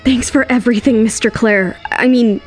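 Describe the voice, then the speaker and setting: A woman's voice: fairly high pitch, steady tone, moderate pace, a little mellow, faint music behind, lightly acted. A woman playing an anxious, protective young single mother on the run in an audio drama. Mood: polite, guarded. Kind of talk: dramatised audio series acting with narration.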